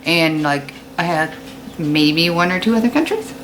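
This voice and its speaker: A woman's voice: on the low side, expressive, measured pace, a bit bright, slight background noise, low-quality recording. An American woman teaching children in a read-aloud lesson, explaining informally and putting questions to her young listeners. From United States. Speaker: fifth-grade teacher.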